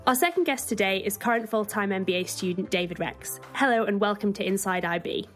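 A woman's voice: mid-range voice, a little fast, a bit bright, faint music behind. A woman podcast host putting friendly, prepared interview questions to a guest.

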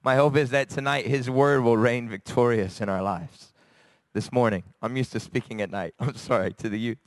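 A man from America, a church elder, preaching a sermon with a raspy, hoarse voice and earnest, searching pauses. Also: mid-range voice, fairly even intonation, dry recording. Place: church service. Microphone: handheld microphone.

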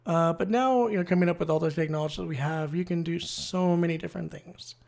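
A host with a creaky voice giving a casual solo explainer, thinking aloud and sharing opinions in a conversational way. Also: medium pitch, quick pace, a little mellow. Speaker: host of a language-learning series.

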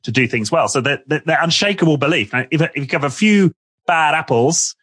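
Husky man hosting an interview, dry sound. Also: a little high, lively intonation, fairly quick, a bit bright, no echo. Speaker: interview host. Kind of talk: conversational interview.

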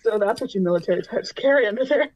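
Woman, husky voice, casual game chat, dull lo-fi microphone. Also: mid-range voice, expressive, fast talker, darkish tone, no room sound. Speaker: tabletop game player.

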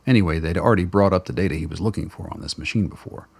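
A male narrator telling a radio drama steadily in close third person. His voice is deep and husky. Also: rapid-fire, a little mellow. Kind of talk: scripted narration.

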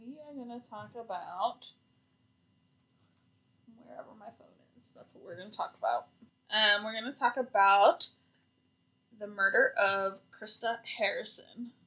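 A woman's voice: mid-range voice, a little slow, long pauses, sharp tone, poor audio. A woman co-hosting a podcast in casual chat, trading dry, teasing quips. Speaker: podcast co-host.

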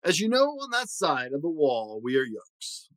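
A man's voice: fairly high pitch, very animated, normal speed, fairly bright tone, no room sound. A man voicing a very old, stern and proud grandfather who moralizes indignantly and emphatically in rhyme for a storybook. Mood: indignant, grave. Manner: emphatic, moralizing, rhyming speech.

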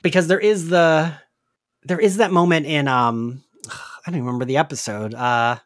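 A man's voice: a little high, fairly even intonation, moderate pace, no room sound. Casual man with a close, dry sound. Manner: informal, frequent "like" and swearing. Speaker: podcast host. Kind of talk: casual episode recap discussion.

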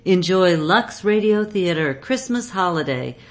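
A woman's voice: fairly low pitch, expressive, measured pace. A woman announcer reading a scripted introduction to a radio drama.

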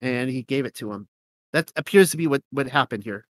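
A man's voice: medium pitch, fairly quick, dry recording. A man with a cold and a slightly nasal voice, reading scripture aloud and then commenting casually with fillers. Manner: reads verses steadily, then comments loosely with fillers. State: has a cold, sounds nasal. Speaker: host of a scripture reading show.

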